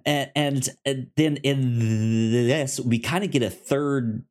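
A man with a medium pitch co-hosts a casual movie podcast. He speaks slowly and haltingly, repeating words as he works through his thoughts with a fan's enthusiasm. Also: darkish tone. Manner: halting, repetitive, enthusiastic fan talk. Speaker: podcast co-host.

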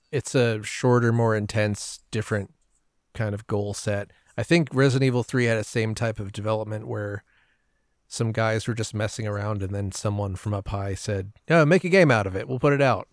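A man's voice: fairly low pitch, no room sound. Dry, close-sounding man in his forties. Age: 40s. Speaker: podcast panelist. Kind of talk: casual gaming podcast chat.

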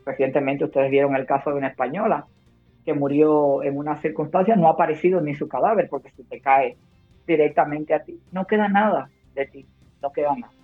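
A woman's voice: sits low, fairly quick, soft background music. A woman from Cuba, a war correspondent and nurse, speaking emphatically and with urgency in long turns during a phone interview. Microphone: phone call. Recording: tinny phone line.

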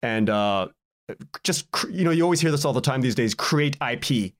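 A man speaking casually as a podcast guest, in a halting, rambling way, often repeating words and cutting himself off. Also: mid-range voice, lively intonation. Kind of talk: casual interview conversation.

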